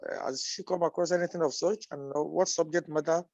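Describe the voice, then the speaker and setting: A man from Nigeria puts a question to the speaker in a fairly high voice. He halts often, with many uhs. The sound is dry and close. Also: fairly even intonation, brisk, creaky, a little mellow, no echo, dull audio. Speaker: audience member asking a question.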